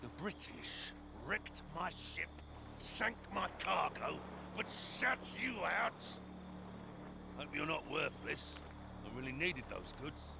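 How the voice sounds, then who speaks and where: A foul-mouthed pirate boss from a video game, bitter and theatrical. The voice is thick with creak and lurches up and down in pitch. Clear ambient noise sits behind every insult. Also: male voice, expressive, takes it slowly, full character voice. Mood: bitter outrage. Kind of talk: video game character acting.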